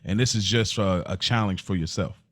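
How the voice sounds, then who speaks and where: A man's voice: low voice, brisk, no echo, studio quality. A man hosting a casual podcast conversation, talking emphatically and repeating phrases to build his point. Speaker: podcast host.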